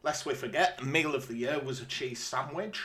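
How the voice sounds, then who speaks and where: A man's voice: mid-range voice, normal speed, fairly bright tone, husky. A man with a British accent, talking casually as a podcast co-host. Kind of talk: casual chat.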